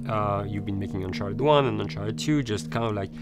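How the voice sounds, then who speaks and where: A man's voice: on the low side, fairly quick. A man working as a game developer, speaking hesitantly and searching for words in an unscripted behind-the-scenes interview. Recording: loud music under the voice. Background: prominent instrumental music.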